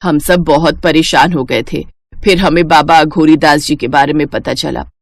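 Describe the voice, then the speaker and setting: A woman's voice: deep voice, fairly even intonation, normal speed, dry recording. A woman playing an earnest middle-aged mother-in-law who explains things matter-of-factly in a family cartoon story. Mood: confessing, relieved. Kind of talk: Hindi cartoon story voice acting.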